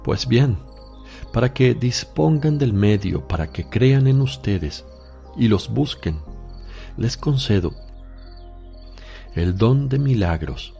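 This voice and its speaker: An authoritative master teaching disciples in a religious radio drama. He speaks very slowly and solemnly, in a mellow tone. Music sits behind him. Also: mid-range voice, animated, creaky. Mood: solemn, firm. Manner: measured, solemn, exhortative.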